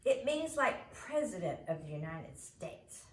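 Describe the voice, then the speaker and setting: A woman voicing a rough, cocky young boy in a storybook, blunt, curious and pushy. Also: fairly low pitch, very animated, a little slow. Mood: bossy. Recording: roomy echo, faint background noise.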